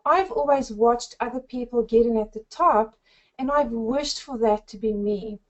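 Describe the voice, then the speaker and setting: South african lady in her 40s, gushy upbeat sales pitch, kinda high voice. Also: normal speed, muffled recording. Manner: effusive, upbeat, addresses listeners as friends. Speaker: network marketing presenter. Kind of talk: persuasive sales pitch on a group call.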